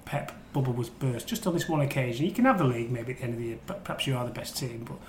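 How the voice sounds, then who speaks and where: A man's voice: medium pitch, animated, racing. Man in an echoey room. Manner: teasing, rambling with repetitions. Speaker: crime reporter and podcast guest. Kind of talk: casual football banter. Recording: echoey room, faint background noise.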